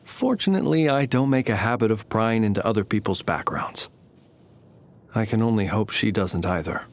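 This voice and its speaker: A man voicing a courtly, humble lamp-bearing knight in a video game, speaking in formal, eloquent, old-fashioned phrases at a measured pace. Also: fairly low pitch, lively intonation. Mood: reflective, courteous. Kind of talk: scripted game character voice lines.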